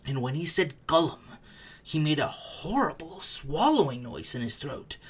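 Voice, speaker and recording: Lively, swooping storybook narrator. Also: medium pitch, a little slow, breathy edge. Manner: expressive, suspenseful, hushed phrase endings. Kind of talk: dramatic storybook reading. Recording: faint background noise.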